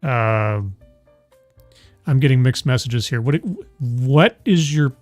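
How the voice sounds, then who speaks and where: A man's voice: mid-range voice, slow, darkish tone, no room sound, faint music behind. A man hosting a casual chat show, who stammers and restarts often while arguing his point. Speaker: host.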